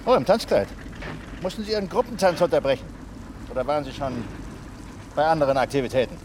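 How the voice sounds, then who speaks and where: An actor playing a gruff, condescending police commissioner giving commanding orders in a German radio drama. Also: male voice, quick pace, close to an everyday voice. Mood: mocking. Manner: biting, condescending. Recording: noisy outdoor scene with vehicle rumble.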